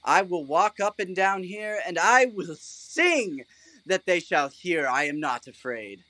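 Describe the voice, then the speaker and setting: An actor playing a pompous, oblivious and stubborn weaver who fancies himself an actor, in a comic Shakespearean audio play. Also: male voice, high-pitched, lively intonation, slow pace, pushed and bright, dry recording, full character voice, rough recording. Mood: indignant. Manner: hammy, declamatory. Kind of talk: theatrical comic Shakespearean audio drama acting.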